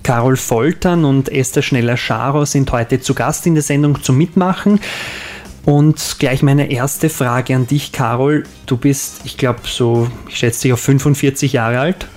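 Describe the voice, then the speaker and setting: A man hosting a radio interview, asking slow, halting questions with small fillers. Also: medium pitch, a little mellow. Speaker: radio host.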